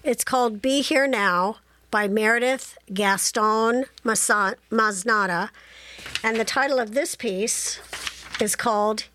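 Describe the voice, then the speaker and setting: A woman hosting community radio, chatting casually and reading passages aloud slowly from a studio microphone. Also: fairly high pitch, sharp tone, a little hiss or hum.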